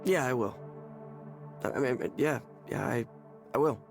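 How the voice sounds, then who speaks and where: An actor playing a desperate, anxious man in a visual novel, with a halting, pleading manner. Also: mid-range voice, gravelly, faint music behind. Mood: sheepish, grateful. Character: desperate, anxious male client in counseling. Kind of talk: naturalistic visual novel voice acting.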